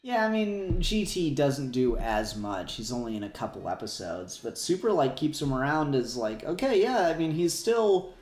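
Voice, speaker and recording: A man's voice: on the high side, very animated, moderate pace, few pauses, a little mellow. A man chatting casually as a podcast co-host in a fan discussion, rambling with emphatic enthusiasm. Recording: roomy echo, music behind.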